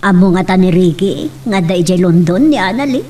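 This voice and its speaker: Babai a mangiyakting iti nababbaba timekna, madanagan a kabagian. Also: female voice, on the low side, lively intonation, brisk, dark tone. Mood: shocked. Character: concerned relative, shocked by family news. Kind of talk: melodramatic Ilocano audio drama.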